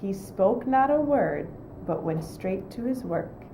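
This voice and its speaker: A woman reading a holiday poem aloud in a slow, gentle way. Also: mid-range voice, animated, creaky, slight background noise, rough recording.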